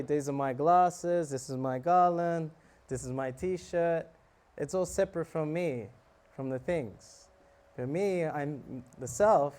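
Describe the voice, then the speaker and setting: A man giving a relaxed, joking talk on scripture to a live audience, often asking them questions. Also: on the high side. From South Africa. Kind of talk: casual sermon to a live audience.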